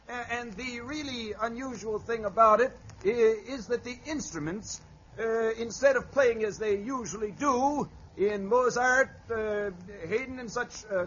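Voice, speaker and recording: An actor playing a fussy, hesitant concert presenter, stammering through a lecture in 1940s radio drama style. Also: male voice, very high voice, pushed and bright, a little hiss or hum, exaggerated voice. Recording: muffled old radio recording.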